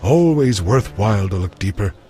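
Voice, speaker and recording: Actor as a sly, shady undertaker over music. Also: male voice, lowish voice, animated, moderate pace, dark tone, natural voice. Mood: evasive, blasé. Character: shady, morbid male undertaker, mercenary and fond of drink. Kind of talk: video game voice acting.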